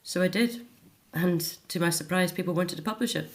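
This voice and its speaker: A middle-aged woman with a fairly low voice, talking as a guest in a radio interview. She gives a candid, reflective personal account in a conversational, emphatic way. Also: brisk.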